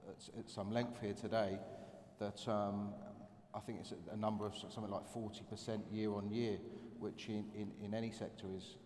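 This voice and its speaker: A man speaking on a conference panel, halting and repeating words as he builds his point. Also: lowish voice, little pitch movement, a little mellow. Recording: echoey conference hall with faint noise.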